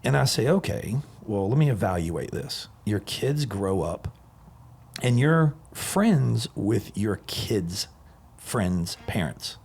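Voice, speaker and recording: A low, husky man in his forties hosts a relaxed podcast chat. He tells long stories with eager enthusiasm and winds from one thought to the next. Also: slow. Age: 40s. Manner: enthusiastic, rambling storyteller. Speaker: podcast host. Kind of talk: casual chat.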